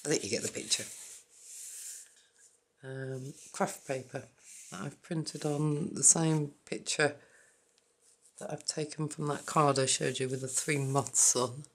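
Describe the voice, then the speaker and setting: A hoarse woman hosting a papercraft channel, quietly naming each item in a casual journal flip-through with a croaky voice. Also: very deep voice. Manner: quiet, halting, naming items one by one.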